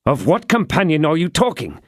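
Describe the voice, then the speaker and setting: A man's voice: fairly high pitch, wildly swooping pitch, brisk, dry recording, studio quality, pitched above the performer's own voice. A man voicing a passionate young swordsman, urgent and anguished, in an audiobook reading. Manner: urgent, crying out.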